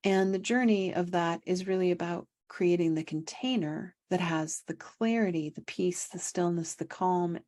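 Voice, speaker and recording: A woman leading a guided meditation as a coach, speaking calmly and without hurry, with pauses and gently repeated phrases. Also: lowish voice. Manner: calm, unhurried, repetitive phrasing with pauses. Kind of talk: guided meditation, spoken instruction.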